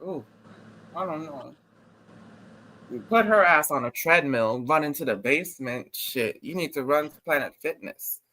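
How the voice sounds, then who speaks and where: A woman's voice: low-pitched, moderate pace, poor audio. A woman guest on a livestream chat, teasing and joking, often breaking into laughter. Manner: teasing, bursts into laughter, lively. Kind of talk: casual livestream banter.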